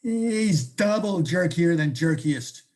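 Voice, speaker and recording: A woman's voice: lowish voice, expressive, slow pace, darkish tone. A woman acting a prying, incredulous close friend in a conversational comic one-act, with teasing, probing questions. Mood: shocked.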